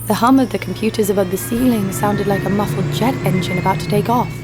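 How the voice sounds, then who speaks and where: A woman's voice: medium pitch, fast talker, over loud music, close to an everyday voice. A woman playing a tough, sardonic young bounty hunter who narrates an audio drama in a wry, world-weary way. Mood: wry narration. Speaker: narrator and lead.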